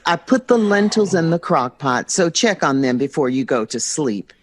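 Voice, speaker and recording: A woman's voice: deep voice, sing-song, moderate pace, a little hiss or hum. An American actress playing a hurt, loving middle-aged mother in an audio drama, emphatic and emotionally open. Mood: hurt, curt.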